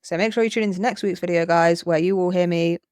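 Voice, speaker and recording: A young woman co-hosting a podcast in casual chat, her naturally croaky voice made hoarser by a cold. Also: lowish voice, steady tone, fast talker, a little mellow. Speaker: podcast co-host.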